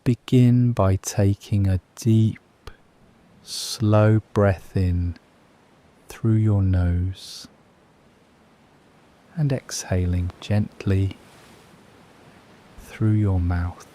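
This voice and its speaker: A man guiding a scripted meditation in a soothing, gentle, measured way, leaving long pauses between phrases. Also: on the low side, fairly even intonation. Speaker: meditation guide.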